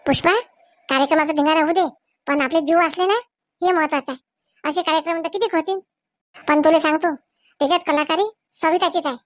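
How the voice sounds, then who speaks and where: A woman's voice: sits high, fairly even intonation, brisk, long pauses, no echo, close to an everyday voice. A woman voicing a caring but bossy village aunt in a comedy cartoon, advising and reassuring.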